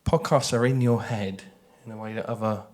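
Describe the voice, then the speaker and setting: A man's voice: lowish voice, steady tone, normal speed, a little mellow. A man speaking conversationally on a panel as a writer, explaining his ideas thoughtfully and repeating phrases for emphasis. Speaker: audio drama writer on a festival panel.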